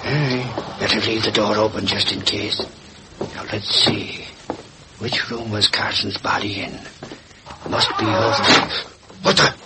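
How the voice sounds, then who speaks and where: A man's voice: lowish voice, level tone, unhurried, lightly acted. Blustery bumbling radio sidekick played by a man, noisy. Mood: curious. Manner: folksy, blustery, slangy. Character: blustering, bumbling ex-policeman turned reporter, big lovable ape. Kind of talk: 1940s radio drama acting. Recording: very noisy old radio transcription.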